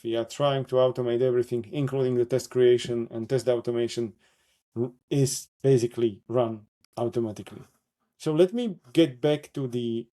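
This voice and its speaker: Slovak-accented man, mellow, halting demo talk. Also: mid-range voice. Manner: halting, many fillers and self-corrections. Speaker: workshop presenter. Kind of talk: live software demo walkthrough.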